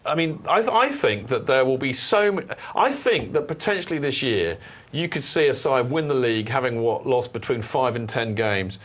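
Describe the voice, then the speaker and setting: Casting: man, podcast host, lively delivery, restless restarts, mid-range voice. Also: medium pitch, normal speed. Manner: animated, rambling with restarts. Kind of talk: casual football chat.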